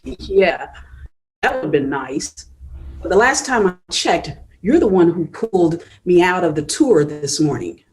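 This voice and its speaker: A woman's voice: lowish voice, lively intonation, a little slow, poor audio. An actress reading a shaken but firm teacher who stands up to her supervisor in a table read. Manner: firm, exasperated.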